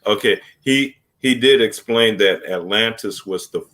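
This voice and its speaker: A man's voice: lowish voice, a little slow, fairly bright tone. A man in casual chat, talking emphatically and swearing freely.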